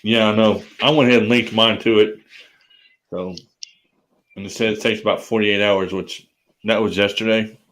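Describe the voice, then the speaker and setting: A man with a lowish voice hosts a casual livestream, easygoing and agreeable. He chuckles along and chats about his channel. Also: fairly even intonation, moderate pace, pauses often, a bit bright. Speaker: livestream host. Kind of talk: casual video chat.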